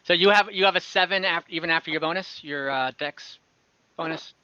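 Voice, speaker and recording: A man runs an online tabletop session as game master, working through rules aloud with frequent restarts and fillers. His voice is bright and a little high, and the dry home mic gives it a dull lo-fi edge. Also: brisk, smooth, no room sound. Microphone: home mic on an online call.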